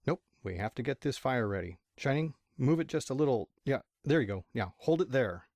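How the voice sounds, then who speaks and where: A man acts out a middle-aged stallion dad in an audio drama. He is fussy and proudly pedantic, with a gravelly mid-pitched voice, recorded close and dry. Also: expressive, brisk, a little mellow, no room sound, lightly acted. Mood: busy, fussy. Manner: earnest, pedantic. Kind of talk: fan audio drama acting.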